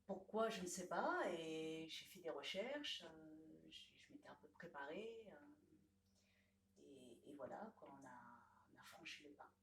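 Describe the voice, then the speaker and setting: Woman from laos, fairly low voice, halting and soft-spoken, roomy distant sound. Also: expressive, gravelly, a little hiss or hum. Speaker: painter and photographer. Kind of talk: casual radio interview.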